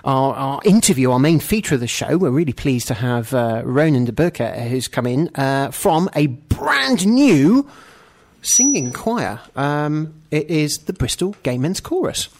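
A man hosting a radio talk show, interviewing a guest in a halting, casual way with frequent restarts. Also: a little high, sing-song, darkish tone. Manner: halting, many restarts and fillers.

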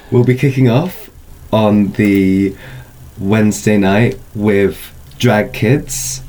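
A man's voice: low voice, darkish tone, slight background noise, rough recording. A man speaking as an event organiser in an informal interview, explaining things in a loose, hedging way. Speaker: film festival organiser, interview guest.

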